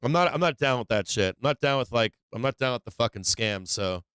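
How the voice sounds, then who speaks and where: A man's voice: mid-range voice, expressive, a little fast, a bit bright, clean tone, no echo, clean studio sound. A man hosting a live stock trading stream, chatting casually and bluntly in slangy, profanity-laced talk. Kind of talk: casual live stream chat and market commentary.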